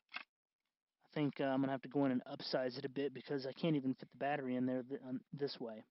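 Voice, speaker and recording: A man hosting a do-it-yourself tutorial in casual, unscripted talk, halting and correcting himself as he goes. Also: mid-range voice, level tone, dry recording, muffled recording. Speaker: host of a DIY costume electronics tutorial.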